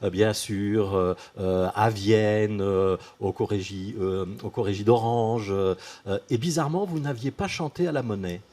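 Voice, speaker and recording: A man hosting a TV interview, asking measured questions with frequent fillers. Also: lowish voice, mellow. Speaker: TV host.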